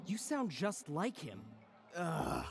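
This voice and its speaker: A man's voice: fairly high pitch, huge pitch swings, slow, vocal fry, heavily acted. An actor playing an aloof, grumpy young man in a visual novel, dry and reluctant in his delivery. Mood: grudging. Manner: dry, grudging. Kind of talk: visual novel character acting.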